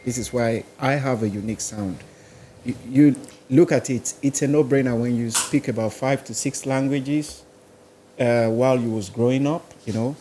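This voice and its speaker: A man's voice: medium pitch, normal speed, mellow, a little hiss or hum. Nigerian man telling his story casually. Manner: rambling, casual, listing places and languages. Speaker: guest musician. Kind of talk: podcast interview.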